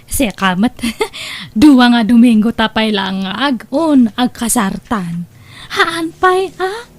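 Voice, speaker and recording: A woman's voice: a little high, animated, slow. A woman playing a coy, secretive young woman in a comedy drama, with an Ilocano accent. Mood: coy, evasive. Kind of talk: Ilocano comedy radio-style drama acting.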